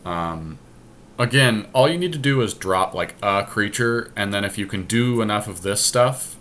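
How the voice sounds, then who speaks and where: Low-pitched man, casual game commentary, reasoning aloud, some background noise. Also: normal speed, a bit bright. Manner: deliberating, counting and reasoning aloud. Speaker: podcast host drafting a card game deck.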